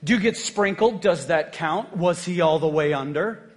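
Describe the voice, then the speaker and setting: Male pastor, high-pitched, chatty joking sermon delivery. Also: normal speed, a faint crowd. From United States. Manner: conversational, joking, asks the congregation "right?".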